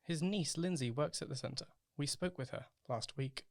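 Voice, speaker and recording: A man's voice: a little high, normal speed, gravelly, no echo. A man playing a polite, composed local radio news presenter, reading a scripted bulletin in an amateur radio play. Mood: composed, upbeat.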